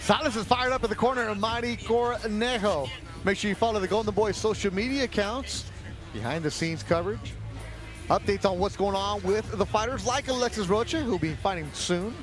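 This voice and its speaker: A man calling a boxing match play-by-play in a brisk, promotional broadcast manner. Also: sits high, over music. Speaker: play-by-play commentator.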